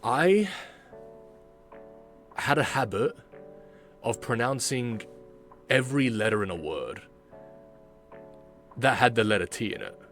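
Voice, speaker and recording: A man's voice: mid-range voice, sing-song, takes it slowly, pauses often, fairly bright tone, faint music behind. A man giving casual, reflective and self-critical commentary as a video creator and amateur voice actor reacting to his work.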